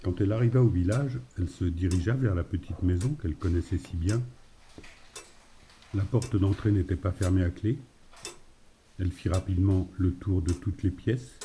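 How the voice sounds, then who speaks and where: A man's voice: low-pitched, mellow, a little hiss or hum. A man narrating a literary story from a script. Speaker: narrator of a fiction.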